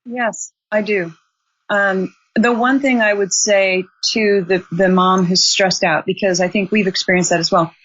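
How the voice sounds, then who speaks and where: Brief: woman in her 60s, guest author, earnest and measured, slightly muffled audio. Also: mid-range voice, fairly even intonation, a bit bright. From Montana. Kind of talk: advice in an interview.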